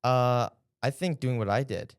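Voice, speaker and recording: A man's voice: medium pitch, unhurried, clear, resonant tone, dry recording, clean studio sound. A young man answering interview questions in an earnest, hesitant way with many fillers. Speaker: interview guest, former intern.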